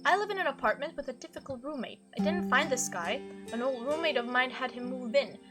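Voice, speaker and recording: A woman's voice: high-pitched, brisk, over loud music. A woman hosting a game playthrough, reading dialogue aloud expressively and adding her own commentary. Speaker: gaming video host.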